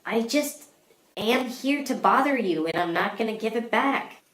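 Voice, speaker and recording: A woman's voice: fairly high pitch, expressive, measured pace. A woman acting in a comedy skit as a stubborn, teasing young woman with a dry, unimpressed manner, recorded in a roomy space. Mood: dismissive. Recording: roomy, echoing indoor sound.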